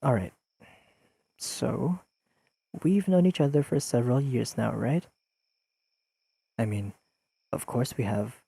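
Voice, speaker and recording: A man playing an earnest, awkwardly analytical young best friend in an intimate audio roleplay, speaking haltingly and carefully to the listener. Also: medium pitch, lively intonation, gravelly, slightly rough, dry recording, heavily acted. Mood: careful, explaining. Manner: halting, careful, softly pleading, slightly formal wording.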